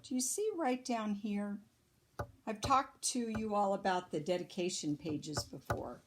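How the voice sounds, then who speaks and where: A woman reading a picture book aloud to children like a teacher, deliberate and expressive, pausing to explain. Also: medium pitch, background noise.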